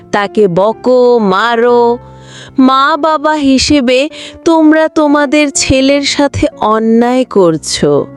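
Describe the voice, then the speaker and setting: Woman as a solemn, slow magical bird. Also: on the high side, smooth. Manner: slow, admonishing. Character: magical bird, solemnly scolding careless parents. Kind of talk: moral story cartoon.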